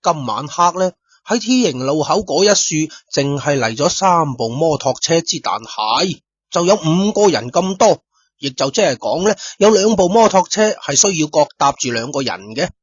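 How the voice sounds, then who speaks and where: Cantonese guy telling a story like radio drama, husky voice, dry kinda dull recording, chats to listeners. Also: on the high side, expressive, moderate pace, no echo. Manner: colloquial, addresses listeners directly. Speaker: storyteller, host.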